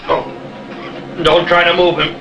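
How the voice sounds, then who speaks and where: A man playing a firm, steady submarine captain in a 1950s television war drama. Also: sits high, takes it slowly, highs cut off. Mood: steady concern. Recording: 1950s TV soundtrack, loud music behind.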